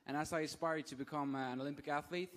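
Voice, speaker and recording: A man with a fairly high voice asks a question from the floor, polite and admiring. His voice sits in the long echo of a large hall. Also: fairly even intonation, fast, a bit bright. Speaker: audience member, gymnast. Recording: reverberant event hall.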